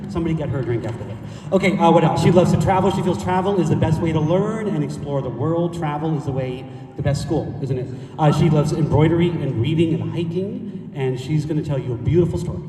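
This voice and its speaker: A man's voice: on the high side, fast talker, few pauses, dark tone, a little hiss or hum. A man emceeing a conference event, introducing speakers with playful jokes and banter. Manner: playful, joking banter with the audience. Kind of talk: lively stage introductions. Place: large conference hall stage. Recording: echoey hall from far off, crowd audible.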